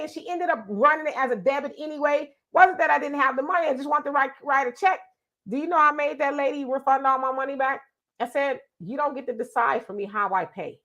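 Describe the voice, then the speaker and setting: A woman's voice: high voice, fairly bright tone. A middle-aged woman, sounding congested, hosting a casual live show and reading out viewer comments in an emphatic, chatty way that repeats itself. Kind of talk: casual live-stream talk.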